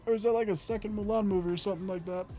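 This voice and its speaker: Brief: young gamer, slightly high voice, mumbly running commentary, faint music behind. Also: male voice, a little mellow. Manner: muttering to himself, humming and repeating phrases. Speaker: gaming streamer. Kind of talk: casual gameplay commentary.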